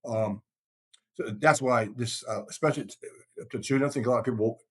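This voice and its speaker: A man's voice: medium pitch, a little fast. A middle-aged man from the United States talking casually in a rambling, emphatic way, repeating phrases for stress. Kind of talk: casual conversational talk.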